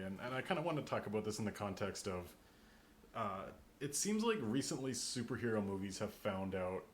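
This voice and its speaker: A man hosting a casual podcast discussion, thinking aloud as he builds up long questions. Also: fairly low pitch. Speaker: podcast host. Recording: clear background noise.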